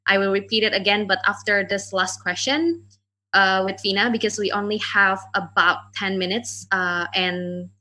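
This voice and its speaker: Woman hosting a zoom q&a, bright tone, easygoing with fillers. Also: medium pitch, moderate pace. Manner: relaxed, loose phrasing with fillers. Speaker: moderator. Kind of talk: online Q&A hosting.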